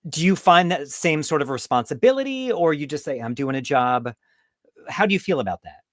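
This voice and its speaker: A man's voice: a little high, animated, a little fast, fairly bright tone, no room sound, studio quality. A man hosting a podcast, chatting casually and asking long, rambling questions in an affirming way. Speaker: podcast host. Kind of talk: casual interview chat.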